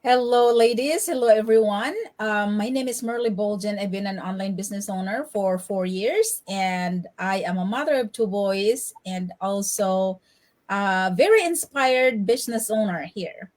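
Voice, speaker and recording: A woman's voice: mid-range voice, measured pace, a little mellow. A woman introducing herself casually as an online business owner.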